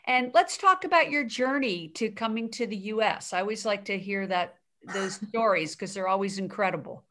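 A woman's voice: medium pitch, animated, normal speed, pushed and bright. A woman hosting a podcast interview, talking in an upbeat, enthusiastic way and cutting in to stress her guest's points. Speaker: podcast host. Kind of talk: casual interview chat.

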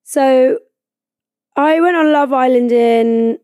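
Croaky, chatty woman podcaster. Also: sits high, slow, warm tone, no echo, studio quality. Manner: chatty, swears freely, tells stories about herself. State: croaky from a cold. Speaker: podcast host. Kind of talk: casual chat. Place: podcast studio.

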